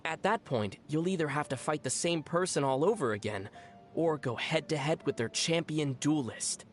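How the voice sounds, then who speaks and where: A man's voice: on the high side, normal speed, faint music behind, close to an everyday voice. An actor playing a composed young man in a video game, speaking matter-of-factly. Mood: calm, matter-of-fact.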